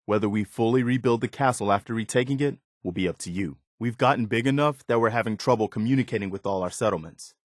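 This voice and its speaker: A man voicing an earnest, loyal militia officer in a video game, sincere, measured and plain-spoken. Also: on the low side, dry recording, studio quality, natural voice. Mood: earnest, reflective. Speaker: voice actor. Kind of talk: video game dialogue acting.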